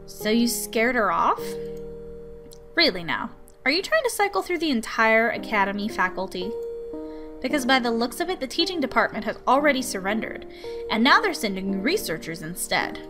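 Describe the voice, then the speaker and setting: A woman's voice: fairly high pitch, very animated, moderate pace. A woman voicing an exasperated, dutiful guardian in a visual novel, dry and scolding. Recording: music behind the voice.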